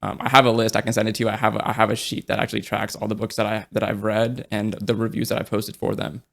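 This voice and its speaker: A young man in casual podcast conversation, talking in a relaxed, rambling way with many fillers and restarts. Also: on the low side, fast talker, gravelly.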